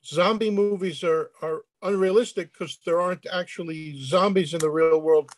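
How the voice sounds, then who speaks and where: A deadpan man asking questions as a panel participant on a video call. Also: sits high, normal speed, no room sound. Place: indoors on a group video call.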